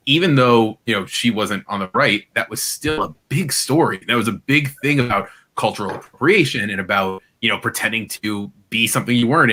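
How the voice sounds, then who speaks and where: A man's voice: on the low side, pushed and bright. A man co-hosting a podcast, talking casually with a joking, sarcastic edge. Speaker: podcast co-host. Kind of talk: casual chat.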